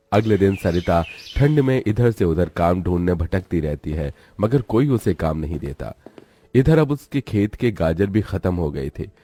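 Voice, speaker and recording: Deep-voiced male storybook narrator. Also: mellow. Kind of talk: scripted storybook narration for a children's cartoon.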